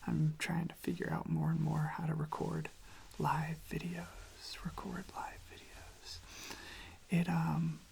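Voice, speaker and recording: ASMR creator needed: slow, soft whispering with heavy vocal fry, even delivery, close on the mic. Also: medium pitch. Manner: slow, hushed, repeats phrases gently. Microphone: close microphone propped on a desk.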